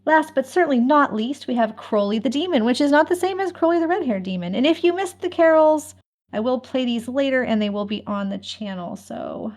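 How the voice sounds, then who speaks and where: A woman's voice: high-pitched, lively intonation, a little fast, a little mellow. A woman hosting a fan event, announcing casually in a chatty way and laughing often. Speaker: host of an online fan event.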